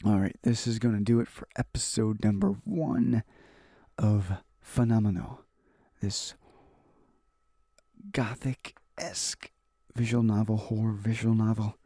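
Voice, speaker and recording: Gravelly, husky man reading a story aloud. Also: fairly low pitch, dark tone, dry recording, clean studio sound. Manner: halting read-aloud delivery with stumbles and repeated phrases. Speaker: gaming video host. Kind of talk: reading a visual novel aloud for a let's play.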